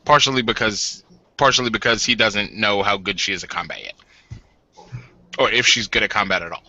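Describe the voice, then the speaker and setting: A man narrates a tabletop game informally, feeling his way through phrases. His voice is fairly low yet very sharp and bright, recorded a little dully. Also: no echo. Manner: halting, informal. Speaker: game master. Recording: slightly dull home mic.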